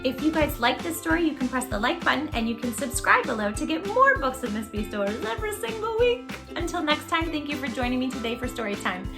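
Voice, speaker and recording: A woman reading a children's story aloud in an expressive, exaggerated manner, speaking directly to young listeners. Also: high voice, fast, few pauses, over music. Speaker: children's storytime host and teacher-like reader.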